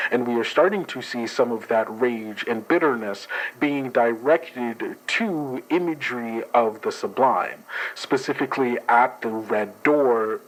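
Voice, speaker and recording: A man playing a tabletop game, narrating a dream in a slow, measured way and then analysing it. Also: mid-range voice, poor audio. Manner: slow, measured narration, turning casual and profane. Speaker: player. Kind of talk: actual play storytelling.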